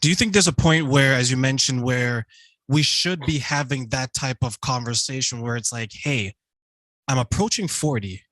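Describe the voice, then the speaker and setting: A man's voice: medium pitch, moderate pace, no room sound, low-quality recording. Easygoing male interview host, dry recording, asks rambling questions. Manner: relaxed, laughs easily, asks long questions. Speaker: show host. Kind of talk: conversational interview.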